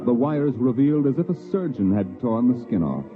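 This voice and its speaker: A man narrating a 1950s radio drama in a grave, theatrical way with deliberate phrasing. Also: mid-range voice, sing-song. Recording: muffled vintage radio, music behind.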